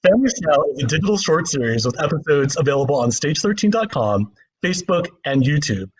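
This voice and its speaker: A man hosts a panel with a fairly high voice and very lively intonation. He delivers prepared introductions and hands over to guests. There is clear noise behind him. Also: fast talker, low-quality recording. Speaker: journalist moderating a panel.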